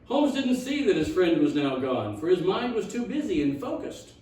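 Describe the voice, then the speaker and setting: A man reading a rhyming children's story aloud in a theatrical, expressive storytime style. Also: sits high, normal speed. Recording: echoey room, distant mic.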